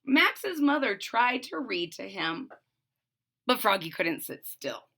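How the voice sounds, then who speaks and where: A woman's voice: fairly high pitch, sing-song, slow, vocal fry, fairly bright tone, breathy edge. A woman reading a children's storybook aloud, expressively, with explanatory asides to young listeners. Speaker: storybook reader.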